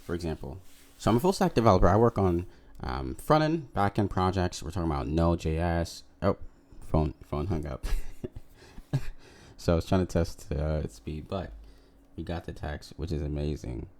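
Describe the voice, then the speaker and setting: A man who works as a software developer, talking casually to viewers as he gives a coding demo. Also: low-pitched, animated, normal speed. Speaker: software developer making a video.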